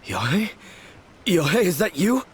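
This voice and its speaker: Dazed, very expressive failed swordsman. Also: high voice, measured pace, a little hiss or hum. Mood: dazed, wistful. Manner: bewildered, wistful. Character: dazed, earnest, self-doubting would-be male swordsman. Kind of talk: video game voice acting.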